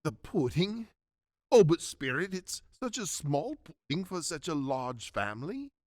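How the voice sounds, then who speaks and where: A man's voice: a little high, big pitch swings, a little slow, a little mellow. A man playing an anxious old miser in a radio drama, fretfully pleading with a spirit. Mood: concerned. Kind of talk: stage-style radio drama acting.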